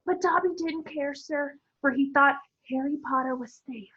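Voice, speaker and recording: A woman voicing a small, fretful male house-elf who speaks of himself by name in a high pleading voice. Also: normal speed, a bit bright, dull audio. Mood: earnest.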